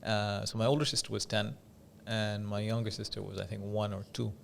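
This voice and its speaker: A man from Dubai speaking as an interview guest, telling stories in a measured, conversational way with pauses and repeated phrases for emphasis. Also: on the low side, little pitch movement, vocal fry, a little hiss or hum. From Dubai, UAE. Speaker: podcast guest, business coach.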